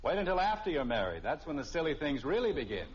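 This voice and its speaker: A man's voice: a little high, big pitch swings, quick pace, husky. An American actor playing a middle-aged husband and father in an old radio family comedy, teasing and indignant but good-humoured. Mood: wry teasing. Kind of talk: mid-century radio sitcom acting. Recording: muffled, noisy old radio recording.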